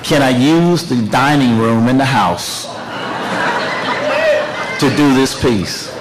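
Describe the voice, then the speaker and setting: An older man speaks slowly and unhurriedly, his voice catching with emotion as he repeats phrases and gathers himself. He sounds like he is speaking at a public unveiling. There is clear background noise, as at an outdoor event. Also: mid-range voice, over a noisy crowd. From Tennessee, United States. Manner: emotional, halting, repeats phrases, laughs through tears. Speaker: artist unveiling his work.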